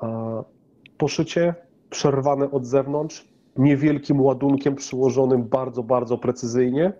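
A man's voice: medium pitch, steady tone, unhurried, dark tone, lightly acted. A man voicing a formal, dispassionate ship computer in a tabletop sci-fi game. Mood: impassive, formal. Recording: muffled, dull microphone sound.

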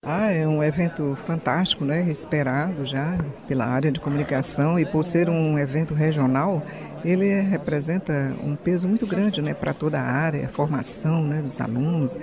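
A woman's voice: sits low, normal speed. Brazilian woman interviewed amid crowd chatter. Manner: frequent "né" tags. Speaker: university rector being interviewed. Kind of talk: spontaneous interview answer.

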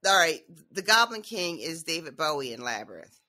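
A man's voice: a little high, big pitch swings, moderate pace, bright, forceful tone. A man co-hosting a podcast, recapping loosely with many fillers and laughs. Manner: rambling, full of fillers and laughs. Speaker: podcast co-host. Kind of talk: casual recap chat about a TV episode.

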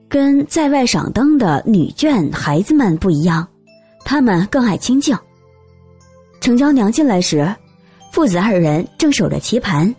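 A woman reading a novel aloud as an expressive, scripted audiobook narrator. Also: mid-range voice, breathy edge.

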